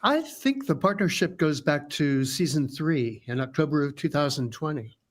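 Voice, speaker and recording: Higher-pitched male radio announcer. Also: animated, normal speed. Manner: polished, promotional. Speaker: show announcer. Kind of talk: scripted radio sponsor announcement.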